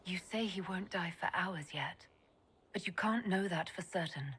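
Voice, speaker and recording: A woman's voice: lowish voice, normal speed, fairly bright tone, husky. A woman playing a composed, wry elf leader in a video game, with a dry, level-headed manner. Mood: calm, firm.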